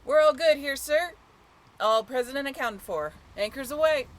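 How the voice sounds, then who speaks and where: A woman's voice: sits high, normal speed, close to an everyday voice. A young woman playing a friendly, teasing sailing-ship crew member in an amateur audio roleplay, chatty and informal with a joking streak. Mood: rousing, commanding. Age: young adult.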